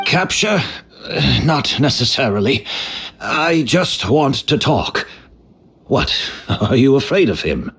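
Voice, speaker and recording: A man voicing a calm, gentle man who speaks in a measured, composed way, in a game cutscene. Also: medium pitch, husky, exaggerated voice. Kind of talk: video game voice acting.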